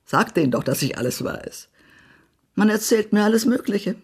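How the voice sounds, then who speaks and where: An actress playing a spiteful, haughty small-town society gossip in a German radio play, blunt and imperious. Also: female voice, medium pitch, expressive, normal speed, breathy edge. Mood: scornful, smug. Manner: blunt, acid, imperious, swearing.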